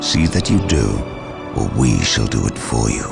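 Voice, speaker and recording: Extremely deep, gravelly psychic warrior. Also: male voice, sing-song, normal speed, loud music behind the voice, heavily acted. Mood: stern. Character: ominous, stern male psychic warrior-librarian. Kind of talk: dramatic video game acting.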